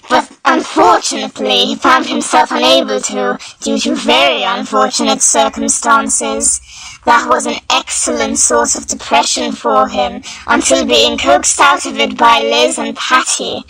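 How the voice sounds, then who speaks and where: Female fanfiction narrator, high-pitched and bright, melodramatic mock-serious storytelling delivery. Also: low-quality recording. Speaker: fanfiction reader. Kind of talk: dramatic scripted story narration.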